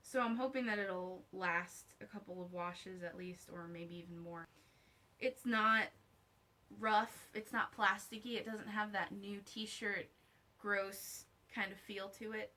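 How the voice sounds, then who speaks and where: A woman's voice: medium pitch, steady tone, unhurried, bright, forceful tone. A woman vlogger giving a casual, unscripted review to camera, candid and wry, with conversational asides.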